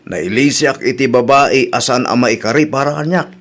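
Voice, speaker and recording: Man acting easygoing young Filipino worker, medium pitch. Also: moderate pace, fairly bright tone, close to an everyday voice. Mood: resigned. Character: spurned young Filipino construction worker, philosophical. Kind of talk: Ilocano comedy audio drama acting.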